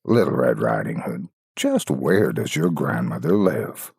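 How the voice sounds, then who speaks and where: Man voicing a sly, gravelly low wolf. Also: sing-song, a little slow, a little mellow, no room sound, clean studio sound, exaggerated voice. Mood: coaxing. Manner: smooth-talking, wheedling, menacing. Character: sly, coaxing, hungry male wolf. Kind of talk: fairytale storybook reading.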